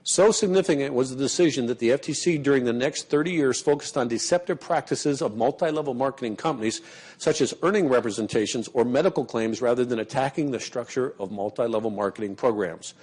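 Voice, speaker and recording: A man with a mid-range voice hosts a seminar and reads aloud in a steady, measured way. He then introduces the next speaker. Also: medium pitch. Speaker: seminar host.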